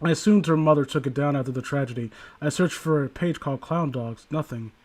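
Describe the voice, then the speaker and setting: A man's voice: on the high side, a little fast, a little mellow. A man casually reading aloud and commenting, sardonic and prone to profane asides. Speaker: host reading and critiquing internet horror stories.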